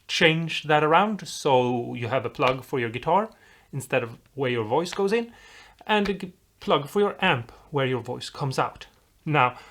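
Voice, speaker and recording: Diy host from europe, mid pitch, chatty casual tutorial, kinda rambling, encouraging. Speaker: host of a DIY guitar pedal video. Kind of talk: casual tutorial, talking viewers through a build.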